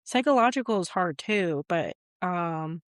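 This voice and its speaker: A woman's voice: fairly low pitch, lively intonation, unhurried, no echo. Woman cohosting a podcast, rambly and unsure, laughs a lot, dry close mic. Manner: rambling, hesitant, laughs often. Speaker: podcast co-host. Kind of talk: casual chat.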